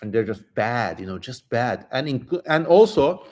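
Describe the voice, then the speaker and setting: A man's voice: medium pitch, sing-song, normal speed, darkish tone. Casual man hosting a livestream. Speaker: livestream host.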